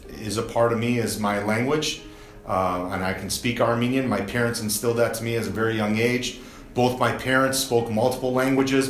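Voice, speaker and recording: A male teacher speaking to camera in a school interview, pausing often with fillers. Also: mid-range voice, fairly bright tone, roomy sound. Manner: hesitant, many fillers. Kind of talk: interview testimonial.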